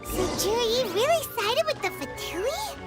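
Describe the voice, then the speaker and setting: A woman voicing a tiny, excitable floating companion girl in a game, chattering and quick to exclaim or worry. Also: very high voice, heavily acted. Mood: curious. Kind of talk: game dialogue. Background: game music.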